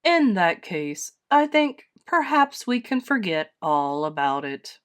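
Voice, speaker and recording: A woman voicing a stern, solemn grown-up male bear from a storybook, measured and authoritative. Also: on the low side, very animated. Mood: solemn, relenting.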